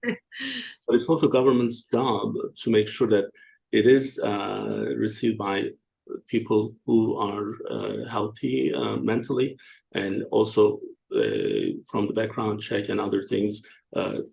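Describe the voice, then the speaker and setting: Gravelly male host. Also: fairly low pitch, animated, darkish tone, rough recording. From Turkey. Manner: hesitant, many fillers, halting. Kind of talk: interview questions.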